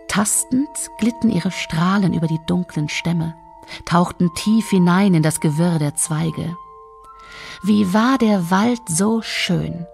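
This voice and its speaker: A woman tells a fairy tale with a fairly low, creaky voice. She reads expressively and lingers on descriptive words, with music underneath. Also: darkish tone. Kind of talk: scripted storytelling narration.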